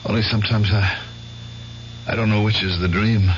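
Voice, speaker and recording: A muffled old radio recording with heavy noise carries an actor as a guilt-ridden veteran near forty. His voice is lowish and earnest, with a hesitant, troubled delivery in radio-drama style. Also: little pitch movement, normal speed, natural voice. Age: 30s. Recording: muffled, noisy radio broadcast recording, humming.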